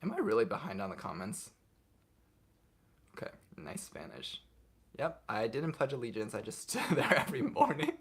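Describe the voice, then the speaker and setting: A young man hosting a casual livestream, reading viewers' comments aloud and answering them off the cuff in a relaxed way. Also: medium pitch, moderate pace, long pauses, husky. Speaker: livestream host answering chat.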